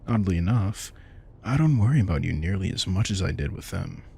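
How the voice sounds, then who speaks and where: A man's voice: low voice, dark tone, slightly rough. A man playing a centuries-old vampire king, wry and protective, talking casually and intimately to the listener in an audio roleplay. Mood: fond, candid. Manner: casual, reflective, swearing, addresses listener directly. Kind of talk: intimate scripted audio roleplay, conversational monologue.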